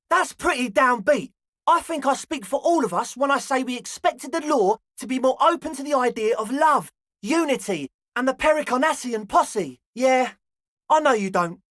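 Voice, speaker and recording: Game role: earnest twenty-year-old club kid, eager and a bit grandiose, very animated delivery, close dry sound. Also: male voice, extremely high pitch, no echo. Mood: defensive. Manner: slacker slang mixed with grandiose phrasing. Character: balding twenty-year-old raver dreamer, eager, earnest, slightly pompous. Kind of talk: video game character dialogue.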